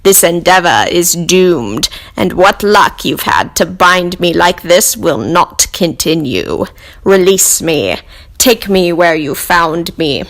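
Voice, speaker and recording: A woman voicing a proud captive demon who taunts and threatens slowly and deliberately in a dramatic monologue. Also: lowish voice, expressive, fairly bright tone, full character voice. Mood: quiet menace. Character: menacing bound demon, taunting, patient and proud.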